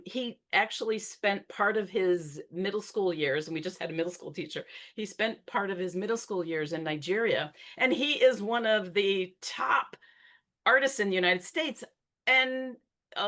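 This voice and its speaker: A woman's voice: lowish voice, fairly bright tone. A middle-aged woman presenting a talk in a conversational way, with frequent fillers and restarts. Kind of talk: conference talk.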